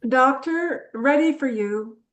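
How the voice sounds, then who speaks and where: Woman acting confident female adventurer, knowing, brisk, matter-of-fact, slightly high pitch, drama table read.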